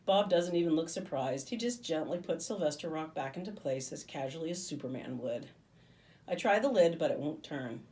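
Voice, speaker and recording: Female teacher as storybook narrator: low voice, animated, expressive read-aloud delivery. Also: moderate pace, recorded from a distance. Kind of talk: expressive children's book narration.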